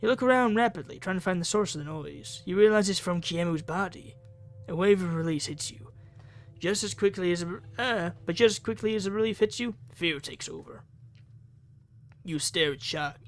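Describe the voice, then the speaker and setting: A man's voice: sits high, fairly quick, soft background music. A man hosting a gaming video, reading story text aloud dramatically with casual asides.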